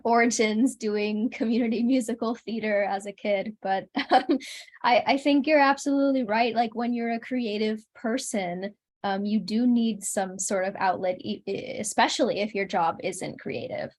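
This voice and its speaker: A woman's voice: medium pitch, steady tone, a little slow, a little mellow. A woman speaking as an interview guest in a casual, reflective and agreeable conversational way.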